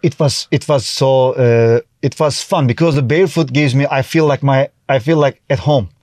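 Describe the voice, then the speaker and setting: A man's voice: mid-range voice, moderate pace, a little mellow, no echo. Turkish-accented male guest, middle-aged, chatty storyteller, close dry mic. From Turkey. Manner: chatty, restarts often, acts out his own past remarks. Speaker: guest, TV host and comedian. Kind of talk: casual interview chat.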